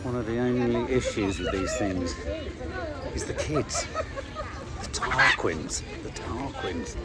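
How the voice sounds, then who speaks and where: A middle-aged man with an English accent banters casually in a family vlog. His delivery is animated, swinging up and down in pitch, with a teasing, exasperated edge and swearing. Music is clearly audible behind him. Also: slow, a loud crowd. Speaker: family vlogger.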